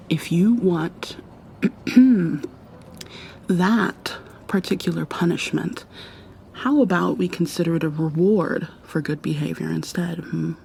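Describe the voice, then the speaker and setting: A woman playing an affectionate, teasing, gently commanding girlfriend in an ASMR roleplay, speaking intimately and coaxingly and often dropping to a whisper. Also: low-pitched, vocal fry, a little hiss or hum. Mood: firm, playful.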